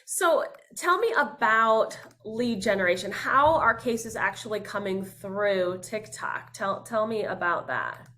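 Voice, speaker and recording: Woman host, mid-pitched voice, warmly encouraging interviewer. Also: measured pace, few pauses. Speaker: podcast host. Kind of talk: interview questions.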